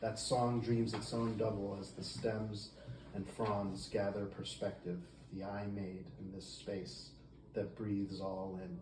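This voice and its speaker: A woman's voice: extremely low pitch, little pitch movement, slow. A woman reading a poem aloud. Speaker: family member reading a poem. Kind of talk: poetry reading. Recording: echoey, distant mic, clear background noise.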